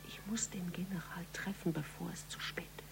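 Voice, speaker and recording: A low, creaky-voiced policewoman. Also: steady tone, brisk, in a whisper. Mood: brooding. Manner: wry, pensive, hushed. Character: wry, determined female police investigator. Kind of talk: radio crime drama acting. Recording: clear background noise.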